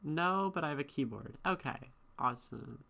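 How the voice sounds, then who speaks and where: A woman's voice: rumbling low, expressive, moderate pace, gravelly, a bit bright. A woman streamer chatting casually, reading and answering her chat in a thoughtful, rambling way with frequent restarts. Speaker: streamer and poetry critic.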